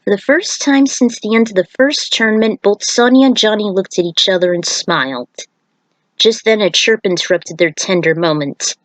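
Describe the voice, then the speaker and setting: A woman narrating a scripted fan fiction story in a deliberate read-aloud manner. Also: medium pitch, dry recording, low-quality recording.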